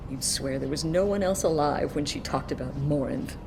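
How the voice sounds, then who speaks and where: An actress playing a grieving, weary mother in a video game, speaking in a soft, halting, emotional way. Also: low-pitched, noisy background. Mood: sorrowful. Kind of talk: dramatic video game acting.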